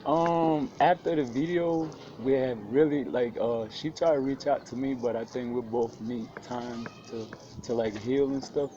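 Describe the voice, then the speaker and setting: A man's voice: mid-range voice, steady tone, unhurried, dark tone. Laid-back man. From South Sudan. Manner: laid-back, street slang fillers. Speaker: interview guest. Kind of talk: casual interview talk. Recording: muffled with faint background noise.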